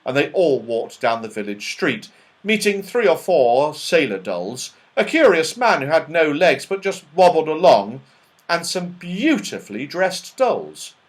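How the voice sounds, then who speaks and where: Man reading a children's story, very animated. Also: fairly high pitch, unhurried. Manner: animated, dramatic delivery. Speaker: audiobook reader. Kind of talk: expressive children's storybook narration.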